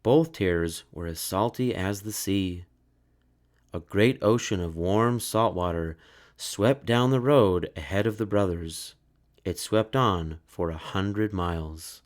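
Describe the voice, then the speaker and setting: A man reading a children's story aloud in a measured, expressive way. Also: low voice. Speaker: storybook narrator.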